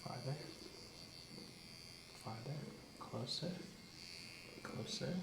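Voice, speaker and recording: A man playing a patient in an ASMR exam, giving brief, quiet, compliant answers. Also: mid-range voice, very slow, vocal fry, reverberant, heavy background noise. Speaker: patient in a role-play.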